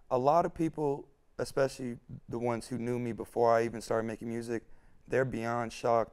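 A man's voice: fairly low pitch, darkish tone, smooth. A young man in his twenties, a hip-hop artist, talking earnestly and reflectively about his music in an interview, recorded on a lapel mic in a studio set. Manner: earnest, reflective, repeats himself. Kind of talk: candid interview talk. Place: studio set with couch and neon lights.

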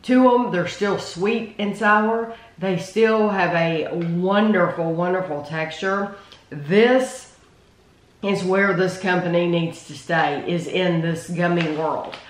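A woman's voice: fairly low pitch, takes it slowly. Echoey middle-aged woman, emphatic. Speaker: food reviewer. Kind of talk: casual taste-test chat. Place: indoor room at a wooden table. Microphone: camera across the table. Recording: echoey room.

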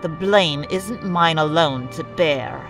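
A woman playing a sardonic, defiant former dark-side warrior in an audio drama, curt and insolent. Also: low-pitched, takes it slowly. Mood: angry, defensive. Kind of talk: fan audio drama acting. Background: music.

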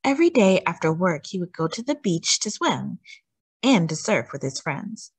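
A woman reads a children's story aloud with a lively, swooping intonation. Her voice sits at a medium pitch with a husky edge, and she leans on key words for young listeners. The sound is close and dry. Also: no echo. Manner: animated, emphatic delivery with drawn-out words. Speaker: storytime host reading aloud.

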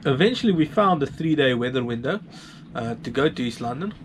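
A man's voice: medium pitch, lively intonation, brisk, faint music behind. A South African man, around his thirties, narrating a sailing vlog in an informal, laid-back way. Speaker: sailing vlogger.

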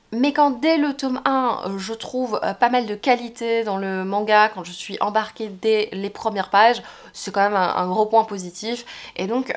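A young woman sits at home in front of her bookshelves, talking straight to the camera about the books she loved this year. Her speech is casual and chatty, broken by frequent filler sounds and small restarts. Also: on the high side. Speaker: book vlogger. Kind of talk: casual book review vlog. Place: home room lined with bookshelves.

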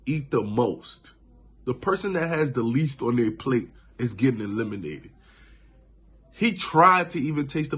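A man in his thirties recapping a show on his vlog, laid-back and animated, from a small room indoors into a phone camera. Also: mid-range voice, slightly rough. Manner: laid-back, animated, lots of "you know what I'm saying". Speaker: vlogger. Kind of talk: casual reaction vlog.

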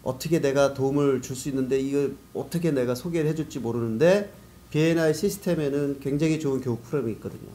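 A man's voice: on the high side, mellow. A man giving explanatory, conversational answers as a guest in a business interview. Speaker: guest, business network organizer.

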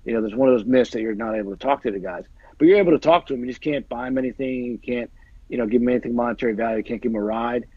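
A man's voice: mid-range voice, very fast, breathy edge, no room sound. A man in his forties, an agent and guest on a video call, talking casually and rambling, repeating phrases and using many fillers, with earbuds in a small room. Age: 40s. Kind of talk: casual interview chat. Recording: dull lo-fi video call audio.

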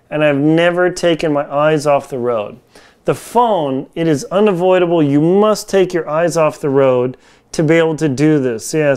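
A man's voice: on the high side, a little mellow, clear, resonant tone. A man in his forties giving a casual, conversational product review to camera in informal, slangy language, recorded on a lapel mic in a home kitchen. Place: small home kitchen, indoors.

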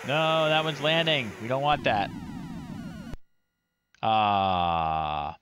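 A man's voice: mid-range voice, extremely slow. A middle-aged man giving casual, wry commentary on a live retro game stream. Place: small room indoors. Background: retro chiptune game music.

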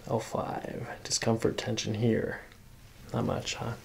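A man's voice: lowish voice, steady tone, takes it slowly, creaky, a little hiss or hum. A man in his thirties working as a chiropractor, talking a patient through a hands-on exam with instructions and short check-in questions. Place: small carpeted clinic treatment room. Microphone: microphone on a boom arm near the table.

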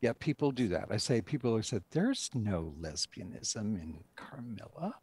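A man's voice: fairly low pitch, very animated, moderate pace, darkish tone, breathy edge, no room sound. An older man speaking as a writer and director in an interview over a group video call. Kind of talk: interview discussion of a radio drama.

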